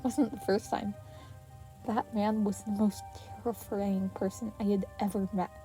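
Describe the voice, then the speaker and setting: A woman voicing an earnest, excitable teenage boy hero who gets flustered, in an anime fan story reading. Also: mid-range voice, a little slow, faint music behind, lightly acted, rough recording. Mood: recounting, earnest. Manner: stammering when flustered.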